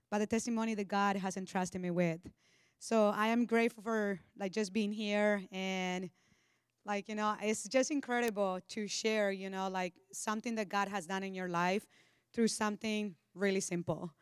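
A woman's voice: medium pitch, clean tone, no room sound, clean studio sound. A young woman from Honduras with a Spanish accent, giving an earnest personal testimony on a church stage into a handheld mic. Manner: earnest, eager storytelling with casual fillers. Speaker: guest speaker.

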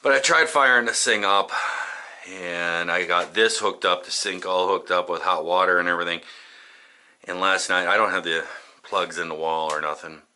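A man's voice: low voice, steady tone, normal speed, sharp tone. A man casually rambling in a home-renovation vlog, tired and achy, talking to a head-mounted camera in a small bathroom. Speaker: renovation vlogger.

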